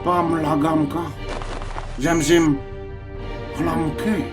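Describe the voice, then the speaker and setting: A man's voice: a little high, flat delivery, drawn-out words, gravelly, heavily acted. A man playing an alien companion in a science-fiction audio drama, speaking in terse invented syllables and grunts. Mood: terse, grave. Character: alien male companion babbling in an invented tongue. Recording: music under the voice.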